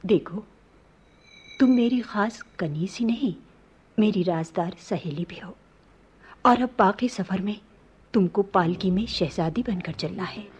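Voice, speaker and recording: A woman's voice: medium pitch, a little slow, long pauses, a little mellow, breathy edge, lo-fi sound. A woman playing a resolute princess disguised as a prince in a radio play, speaking formally and earnestly. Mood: confiding, urgent. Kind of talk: Hindi-Urdu radio play acting.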